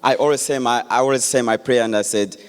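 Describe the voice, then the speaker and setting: A man, giving an earnest public speech with many pauses and restarts. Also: mid-range voice, fairly even intonation. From Democratic Republic of Congo. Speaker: guest speaker. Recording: echoey hall.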